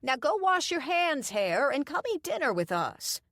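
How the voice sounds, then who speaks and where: A cheerful elderly hostess, put on by a woman reading a story, fussing in a high, bright voice. She draws out her doting words slowly, with lively swings in pitch. The sound is close and dry. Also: no room sound. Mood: bustling, chatty. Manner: high, loud and bright, slow doting phrases.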